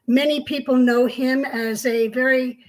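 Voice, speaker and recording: Woman, middle-aged, from Australia, co-host, video call, slightly high voice, calm measured storytelling. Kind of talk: casual interview chat. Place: home room, indoors.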